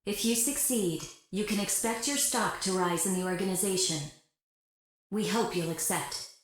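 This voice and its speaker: An actress playing a cool, formal corporate liaison in a video game, delivering military orders with official composure. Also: female voice, medium pitch, normal speed, natural voice. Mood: formal, composed. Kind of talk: scripted military mission briefing, video game voice acting. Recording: very echoey, music behind.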